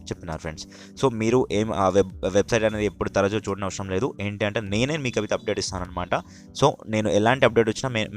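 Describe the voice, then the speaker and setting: A young man with a Telugu accent giving an informal update to students as a channel host, in a repetitive, urging manner. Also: on the low side. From India. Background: music.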